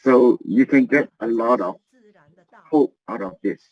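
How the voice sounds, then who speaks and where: A man speaks in a middle-pitched voice, earnest and a little halting as he gives a motivational business talk. He keeps circling back and repeating phrases. The recording sounds muffled and lo-fi. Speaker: business presenter.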